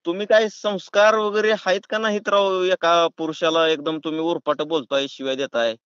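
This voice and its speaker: A man's voice: on the high side, level tone, moderate pace, fairly bright tone, clear, resonant tone, no echo. A man with a rural Maharashtrian accent talking in a pushy, folksy, argumentative way on a prank phone call. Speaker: prank caller. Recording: dull, lo-fi phone call recording.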